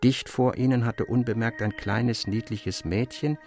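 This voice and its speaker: A man narrating a literary tale from a script in a measured, formal way. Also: medium pitch, darkish tone, soft background music. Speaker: audiobook narrator.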